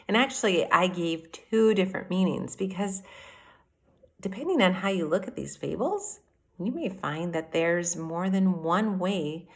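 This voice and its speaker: Slow-paced woman teacher reading to children. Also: lowish voice. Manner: deliberate, addresses children directly with questions and asides. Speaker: elementary school teacher reading aloud. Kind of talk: expressive children's read-aloud and mini lesson.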